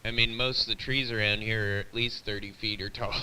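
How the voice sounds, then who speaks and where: A man's voice: fairly low pitch, fairly even intonation, quick pace. A man chatting casually over CB radio in a plain-spoken, slightly grumbling way, with a distant, hissy sound. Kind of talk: casual chat. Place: on a CB radio live feed.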